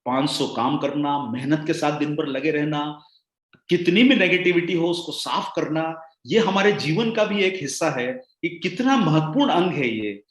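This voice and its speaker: A man delivers a rousing training talk in a somewhat high voice. His delivery swings between loud bursts and hushed asides. The sound is roomy, with a noticeable echo. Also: lively intonation, moderate pace. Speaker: sales trainer. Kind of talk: motivational product training talk.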